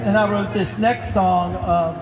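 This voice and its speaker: Folksy guy in his 60s chatting between songs, higher voice, slow easy talk, roomy live sound w loud guitar. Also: steady tone, crowd noise. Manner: rambling and folksy, lots of "uh" and restarts. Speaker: singer-songwriter performing live. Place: live music venue.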